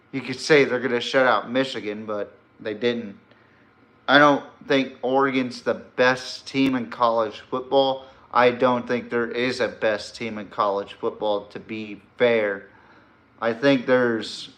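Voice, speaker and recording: Male American sports podcast host, mid-pitched with a forceful bright edge; casual, opinionated commentary. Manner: opinionated, informal.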